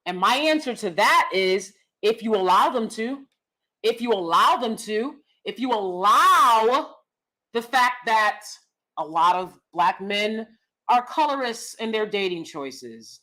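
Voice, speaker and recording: A young adult woman talks straight to camera on her own channel. She is loud, blunt and freely profane. Her voice is on the high side and swings widely in pitch. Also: slow pace, poor audio. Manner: loud, animated, blunt with cursing. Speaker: vlog host. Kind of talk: casual commentary vlog.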